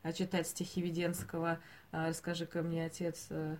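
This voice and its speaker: A woman's voice: low voice, monotone, normal speed, a little mellow. A woman hosting an unscripted podcast discussion, often searching for her words as she talks. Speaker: podcast host.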